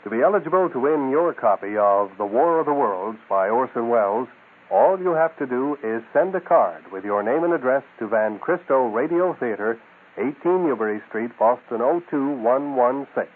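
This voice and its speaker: A man's voice: medium pitch, expressive, moderate pace, narrow, tinny audio. A man hosting a radio show, reading scripted promotional announcements on a thin radio recording.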